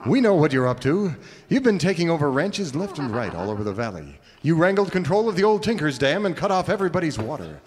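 An actor playing an upright cowboy ranger, earnest and pompous, in a parody of old radio serial melodrama. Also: male voice, fairly high pitch, sing-song, fast talker, long reverb tail. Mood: stern, noble.

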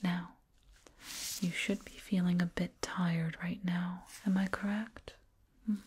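A woman playing a soothing, proud alien dentist in an ASMR roleplay, whispering gently and repetitively up close. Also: lowish voice, flat delivery, slow pace, heavily acted. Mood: soothing, hypnotic. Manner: gentle, repetitive, reassuring murmur. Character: soothing, proud alien dentist with eerie delight in sharp teeth. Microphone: binaural mic, up close. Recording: close binaural mic, clear noise.

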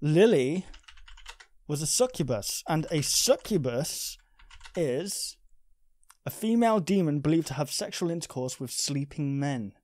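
A man's voice: on the high side, animated, measured pace, a little mellow, dry recording. A man, reading a game aloud and commenting casually into a close microphone. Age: 20s. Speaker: gaming video host. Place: small bedroom studio. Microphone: close boom-arm studio microphone, headset on. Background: music.

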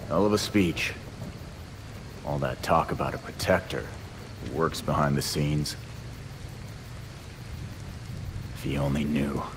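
An actor playing a tough, sardonic male vigilante in a game, with a deep, gruff voice and dry delivery. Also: moderate pace, background noise. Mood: dry, bitter. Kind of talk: cinematic game dialogue. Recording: clear rain and outdoor ambience.

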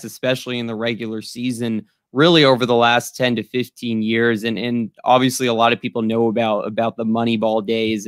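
A man's voice: medium pitch, fairly bright tone, clean tone, no room sound, clean studio sound. A young man hosting a sports podcast, putting earnest, lengthy questions to a guest over a video call. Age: young adult. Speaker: podcast host, interviewer. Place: small room at home, indoors.

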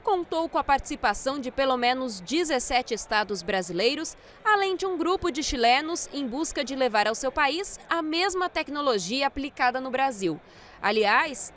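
A woman TV reporter with a Brazilian accent, narrating a news report in a measured, clear broadcast manner. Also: high voice, very animated, a bit bright, a little hiss or hum. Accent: Brazilian Portuguese. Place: indoor trade fair hall. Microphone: handheld microphone.

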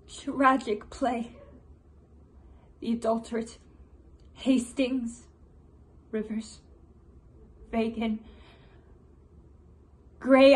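A high-voiced, bitter queen acted by a young woman in a Shakespearean stage monologue. She declaims her grief and vengeance slowly and with passion. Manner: declamatory, impassioned. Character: vengeful grieving old queen, cursing her enemies.

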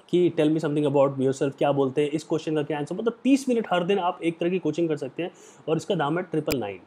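A young man with an Indian accent giving an earnest motivational talk to the camera on a lapel mic in a small room, lecturing and slowing down for English phrases. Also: fairly high pitch, dark tone, breathy edge. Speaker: hospitality career vlogger. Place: small indoor room, plain wall.

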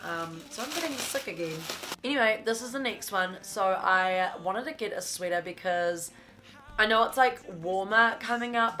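A woman's voice: fairly low pitch, fairly bright tone. A young woman, blocked up from a cold, gushing casually through a clothing haul in a small bedroom studio. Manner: chatty, gushing, lots of "like". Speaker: fashion vlogger.

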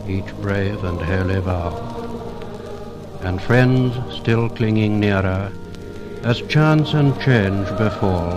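English man, lowish voice, formal narrator, solemn deliberate phrasing, music behind, vintage LP recording. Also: poor audio. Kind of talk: formal scripted commemorative narration.

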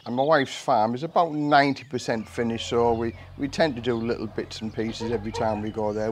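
A middle-aged man chats casually to camera in a medium-pitched voice. He is plain-spoken and rambles a little. He is recorded outdoors, with clear ambient noise around him. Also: a little mellow. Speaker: vlogger. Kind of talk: casual vlog talk to camera. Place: garden outdoors.

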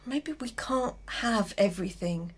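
A woman's voice: medium pitch, expressive, a little slow, husky. An actress in a radio drama playing an anxious, exasperated, frank and persistent girlfriend. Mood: exasperated, concerned. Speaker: actor.

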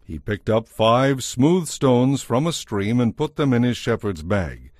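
Male narrator for dramatized audio scripture: measured storyteller, medium pitch, dry studio sound. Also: very animated, a little mellow, no echo.

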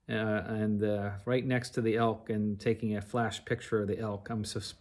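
A middle-aged man with an average-pitched voice tells the story of a trip. He narrates conversationally, going through it in order and with plenty of detail. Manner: recounts in an orderly, chronological, detailed way. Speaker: narrator of a travel slide show.

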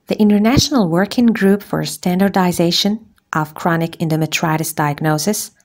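Woman, low voice, gravelly edge, scripted medical lecture, measured delivery. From Algeria. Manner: measured, deliberate, precise enumeration. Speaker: gynecologist lecturer. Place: voice-over on slides.

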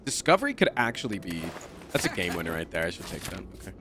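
A man's voice: sits low, fast talker, background noise. A young man with a nasal voice, swearing and joking through live gameplay commentary on stream from a room at home. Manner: profane, excitable, jokey.

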